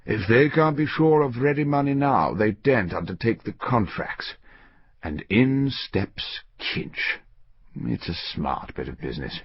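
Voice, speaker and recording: A man's voice: mid-range voice, expressive, a little slow, breathy edge, dry recording, dull audio. A man voicing an old senior banker, shrewd and resolute, with a clipped, weighty, suspicious manner in an audiobook story. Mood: grim, suspicious.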